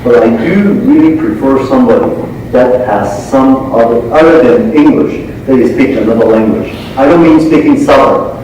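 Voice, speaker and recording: A man's voice: medium pitch, big pitch swings, moderate pace, a little mellow. A man with a Cantonese accent, a panelist speaking earnestly and repeating words as he searches for phrasing. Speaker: panelist, elected official and law firm owner. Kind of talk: panel discussion talk. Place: large seminar room with panel table. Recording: echoey room, distant camera mic, noisy.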